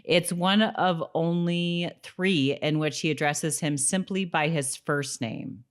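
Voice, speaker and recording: Female podcast co-host, low register, slow delivery, informal and bantering. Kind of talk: casual co-hosted chat.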